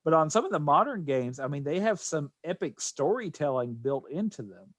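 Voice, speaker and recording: A man's voice: on the high side, big pitch swings, moderate pace, dry recording, studio quality. A man co-hosting a podcast in a casual panel discussion. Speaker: podcast co-host.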